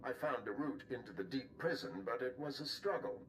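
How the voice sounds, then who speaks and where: A man's voice: mid-range voice, animated, brisk, fairly bright tone, a little hiss or hum, close to an everyday voice, rough recording. An actor voicing a sly, self-serving man in a cinematic video game, coaxing and swooping in his emphasis. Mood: wry, regretful.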